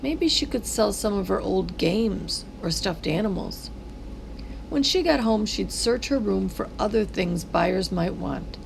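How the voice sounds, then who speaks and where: A woman reading a children's story aloud in an expressive, dramatic way, drawing words out. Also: mid-range voice, unhurried, creaky, slight background noise, poor audio. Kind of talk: expressive read-aloud narration.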